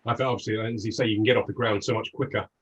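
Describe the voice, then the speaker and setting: A man's voice: lowish voice, fairly even intonation, racing, slightly rough. A man interviewing a brand's founders in a casual chat, hesitant with many fillers.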